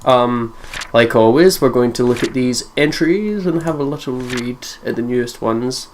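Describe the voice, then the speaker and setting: A woman's voice: extremely low pitch, moderate pace, darkish tone, a little hiss or hum. A Scottish woman hosting a let's play, chatting loosely and swearing as she goes. She laughs a lot and reads the game's text aloud in between her comments. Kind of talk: casual gameplay commentary and reading aloud.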